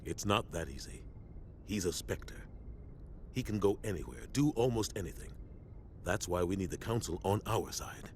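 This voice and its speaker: A man playing a grave, loyal middle-aged military starship captain in a sci-fi video game, speaking with measured, earnest authority. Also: low-pitched, animated, a little hiss or hum. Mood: firm. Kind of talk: cinematic sci-fi game dialogue.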